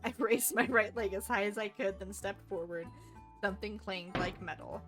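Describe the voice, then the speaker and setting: A woman streamer narrates a visual novel with animated delivery. Her voice sits at an average pitch over clear background music, and she slips in playful asides. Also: normal speed. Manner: playful asides between read lines. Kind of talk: animated live game playthrough with narration.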